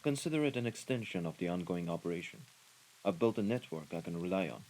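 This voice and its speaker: Man playing a deep-voiced, menacing mafia henchman. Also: expressive, darkish tone, rough recording. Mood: calm, deferential. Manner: formal, measured, deliberate wording. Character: menacing, composed mafia right-hand man, formal and dutiful. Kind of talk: scripted audio roleplay acting. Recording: rain and ambience behind the voice.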